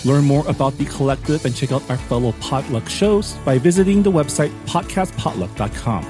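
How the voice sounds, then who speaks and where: Mid-pitched man hosting a podcast. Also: expressive, brisk, music in the background. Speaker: podcast host.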